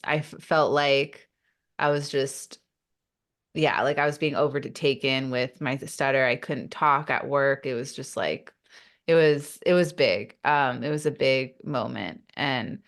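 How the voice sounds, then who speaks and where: A woman who stutters speaks as a podcast guest, her voice sitting deep and her pace slow. She talks reflectively, filling pauses, and catches on opening sounds before pushing through. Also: fairly bright tone. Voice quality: stutters, with repeated sounds and blocks. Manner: open and reflective, with many fillers. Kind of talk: casual interview talk.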